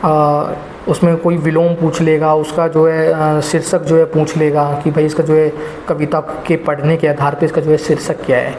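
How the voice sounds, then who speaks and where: High-voiced man giving exam tips. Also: monotone, moderate pace, darkish tone, slight background noise. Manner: rambling, heavy filler phrases, addresses viewers as guys. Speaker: exam prep teacher. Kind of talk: casual spoken exam advice. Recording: echoey room with faint ambience.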